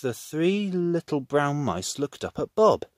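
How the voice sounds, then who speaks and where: A man narrating a children's storybook in an expressive reading style. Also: fairly high pitch, measured pace, no echo, clean studio sound.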